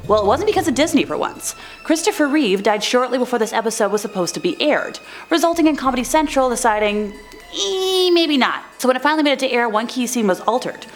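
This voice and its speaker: A woman narrating a commentary video in a casual, scripted style, chatty and wry, with sarcastic asides. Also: on the high side.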